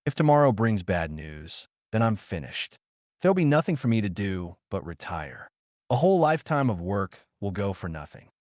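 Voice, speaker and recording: An earnest, proud scientist as a male narrator reads him in an audiobook. He is formal and emphatic, with a low-leaning voice that swings expressively in pitch. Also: big pitch swings, normal speed, darkish tone, dry recording, studio quality. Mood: anguished. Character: earnest, proud French scientist, adult man.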